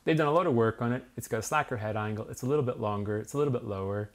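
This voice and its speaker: A man's voice: mid-range voice, rapid-fire. A British man in his thirties, a bike magazine reviewer, chatting eagerly in an office studio with lots of "like" and "basically". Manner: enthusiastic, animated, many "like" and "basically" fillers. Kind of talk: casual review chat. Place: small office studio indoors.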